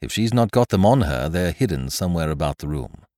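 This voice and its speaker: A man's voice: deep voice, very animated, brisk, dark tone, no echo, studio quality. A man voicing an impatient, matter-of-fact middle-aged police inspector. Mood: brisk.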